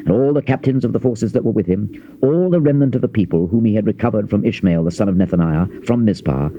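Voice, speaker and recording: A man giving a solemn, measured, dramatized narration of scripture in archaic King James English. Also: fairly low pitch, warm tone. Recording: dull, hissy old cassette tape transfer.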